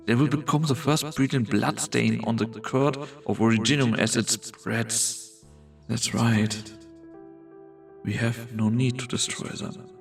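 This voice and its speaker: A man playing a brooding, world-weary strategist in a fantasy game fan dub, delivering solemn, theatrical monologues with a gravelly voice. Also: medium pitch, fairly even intonation, unhurried, far from the mic. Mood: cold, calculating. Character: brooding, world-weary male strategist called Doctor, torn and grandiose. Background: background music.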